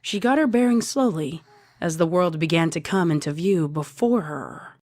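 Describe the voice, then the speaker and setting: A woman's voice: lowish voice, moderate pace, a little mellow, dry recording. A woman narrating a scripted story as a fan fiction reader. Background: music.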